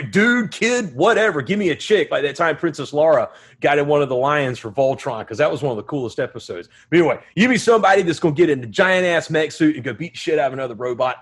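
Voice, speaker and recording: A man hosting a casual film talk with a husky, breathy edge to a somewhat high voice. He is excitable and laughs often. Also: a little fast. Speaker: podcast host. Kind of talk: casual chat.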